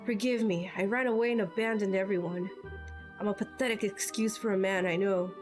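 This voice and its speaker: A woman voicing a shaken, self-reproaching male instructor in amateur game dubbing, halting and subdued. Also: mid-range voice, fairly even intonation, faint music behind, pitched below the performer's own voice, lightly acted. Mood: ashamed.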